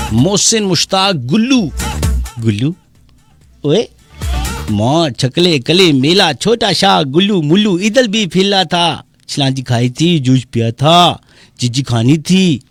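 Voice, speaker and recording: A man with a Pakistani accent hosting a casual live session, reading out listeners' messages with teasing, theatrical banter and slipping into Punjabi and English. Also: on the high side. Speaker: radio show host on a live social media session. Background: music playing.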